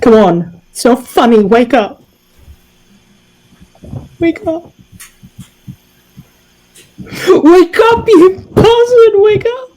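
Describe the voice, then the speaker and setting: A woman's voice: extremely high pitch, animated, drawn-out words, long pauses, mellow, voice pushed up, exaggerated voice, rough recording. An actress playing a grief-stricken woman adventurer in improvised tabletop roleplay, pleading and repeating herself between anger and cries. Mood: desperate, angry.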